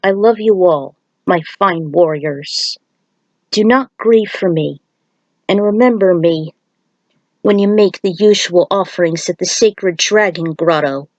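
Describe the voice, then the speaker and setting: A woman's voice: fairly low pitch, unhurried, darkish tone, dry recording, highs cut off, natural voice. A woman voicing a solemn, fatherly male thunder god in a grave, formal story farewell. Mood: solemn, tender.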